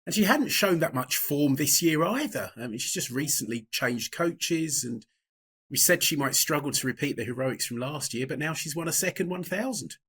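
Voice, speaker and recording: Bright-voiced podcast host. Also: male voice, a little high, slightly rough. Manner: brisk scripted intro, then relaxed and self-deprecating. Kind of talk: podcast hosting, betting preview chat.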